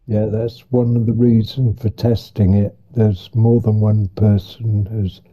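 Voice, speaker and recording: A man taking part in a live stream, explaining a point in a slow, measured way. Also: lowish voice, level tone, warm tone.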